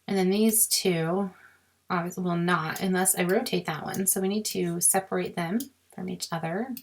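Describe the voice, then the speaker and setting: A woman with a sore, scratchy throat, explaining a crafting software task step by step in a chatty way and muttering to herself as she clicks. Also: on the low side, normal speed. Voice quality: raspy with creaky fry. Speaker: crafting tutorial host. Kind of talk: screen-recorded software walkthrough.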